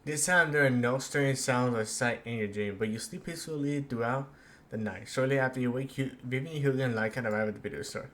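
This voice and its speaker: A man's voice: medium pitch, brisk, fairly bright tone, a little hiss or hum. A man streaming a game, giving casual commentary and reading on-screen text aloud. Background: faint game music.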